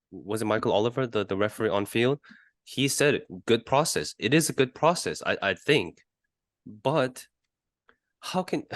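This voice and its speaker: A man co-hosting a sports podcast in casual discussion, arguing his points haltingly and often repeating words. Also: lowish voice, dry recording, studio quality. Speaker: podcast co-host.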